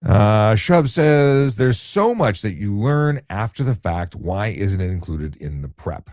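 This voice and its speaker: A middle-aged man with a low voice, calmly explaining things in a relaxed late-night live chat as he reads out viewer questions. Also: measured pace, no echo, clean studio sound. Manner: calm, explanatory, many restarts and fillers. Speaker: retired traffic police officer, live-stream host.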